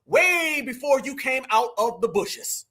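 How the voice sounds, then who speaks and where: Male live-stream host, American, high bright voice, lively swings, combative and mocking, slang-heavy. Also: a little slow. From United States. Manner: combative, mocking, slangy, talks straight to viewers. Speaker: livestream commentator. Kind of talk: heated live reaction commentary.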